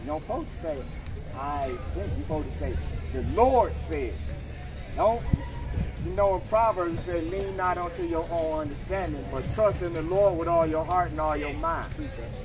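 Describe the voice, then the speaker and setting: High-voiced, insistent American street preacher, a man. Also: lively intonation, moderate pace, music in the background, low-quality recording. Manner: insistent, argumentative, calling for readings. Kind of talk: confrontational street preaching, expounding scripture. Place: outdoor waterfront brick plaza. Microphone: camera a few meters away.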